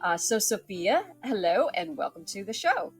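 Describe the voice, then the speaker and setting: A woman's voice: mid-range voice, very animated, moderate pace, husky, faint music behind. A woman hosting a casual interview, chatting and asking her guest questions. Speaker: host.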